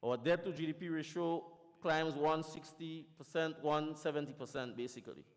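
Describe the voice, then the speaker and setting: A man from the Caribbean giving a formal conference panel talk, setting out his points one by one in a measured way. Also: fairly high pitch. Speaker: panel speaker. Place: large conference hall. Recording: reverberant conference hall.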